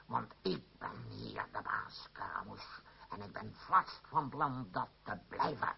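An actor playing a possessive, sardonic middle-aged father and troupe boss in a radio play, with a deliberate, needling delivery. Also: lowish voice, expressive, gravelly, exaggerated voice. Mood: resentful, menacing. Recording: dull, hissy old radio recording.

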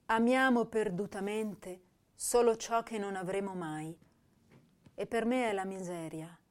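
An actress playing an intense, tender young woman poet, reading letters and verse in a lyrical, measured, dramatic way for a radio drama. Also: mid-range voice, a little mellow, lightly acted. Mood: wistful.

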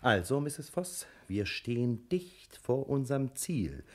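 An actor playing a self-satisfied private detective in a sixties radio drama, drawling with condescending politeness. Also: male voice, medium pitch, big pitch swings, takes it slowly, a little mellow. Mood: reassuring, boastful. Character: smug, suavely reassuring male private detective. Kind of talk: 1960s German radio drama acting.

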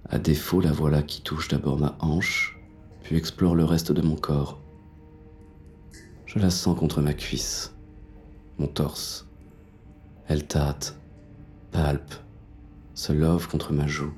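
Homme jouant un survivant épuisé, voix très grave. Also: male voice, extremely low pitch, flat delivery, darkish tone, faint music behind. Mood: anxious, dazed. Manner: slow, halting, dramatic delivery in short fragments. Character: battered exhausted male survivor, haunted, waking in darkness. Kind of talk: dramatic first-person audio fiction narration.

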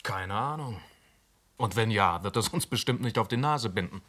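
A pushy police inspector, radio play, animated intonation, sarcastic. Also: male voice, fairly low pitch, moderate pace. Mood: pressing. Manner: sharp, sarcastic, pressing. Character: aggressive, blunt male police inspector. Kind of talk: German radio drama acting.

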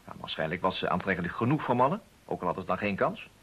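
Radio play detective: lowish, rasping voice, dry and inquisitive, thinking as he talks. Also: sing-song, fast, a bit bright, exaggerated voice. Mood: musing. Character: dry, probing male detective questioning a client. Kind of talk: Dutch radio play acting.

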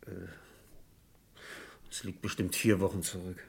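An actor playing a nervous, evasive insurance agent in a radio crime drama, speaking hesitantly and defensively. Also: male voice, low-pitched, little pitch movement, measured pace, breathy edge, exaggerated voice. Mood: chatty, hesitant. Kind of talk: vintage German radio crime drama acting.

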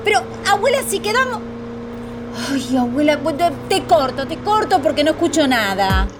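A woman's voice: high voice, big pitch swings, moderate pace, music in the background. A young woman playing a sleepy, evasive actress from a touring troupe in a radio drama, with an Argentine accent. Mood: upset. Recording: noisy vehicle ambience.

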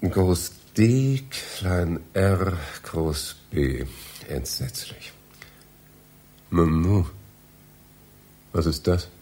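An actor in a radio play playing a polite, bewildered library visitor, hesitant and given to muttering to himself. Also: male voice, sits low, extremely slow, pauses often, mellow. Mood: muttering, puzzled. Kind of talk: German radio play acting.

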